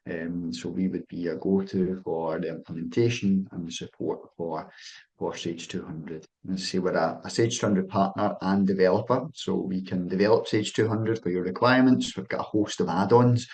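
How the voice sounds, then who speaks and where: A young Scottish man presenting a business software webinar over a video call, friendly and full of "um"s and restarted phrases. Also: low voice, steady tone, normal speed, low-quality recording. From Scotland. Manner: filler-heavy, restarts phrases, friendly sales tone.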